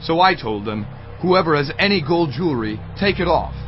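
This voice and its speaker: Man playing Aaron, defensive priest, fairly high, lively pitch, audio drama, muffled sound. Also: normal speed, natural voice. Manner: defensive, pleading, animated. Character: Aaron, defensive, excuse-making male priest. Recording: dull lo-fi audio with background music.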